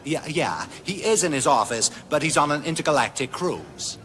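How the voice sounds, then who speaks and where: An actor playing a too-cool publishing office receptionist in a radio comedy, breezy and offhand on the phone. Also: male voice, fairly high pitch, very animated, normal speed, fairly bright tone, soft background music. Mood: blasé.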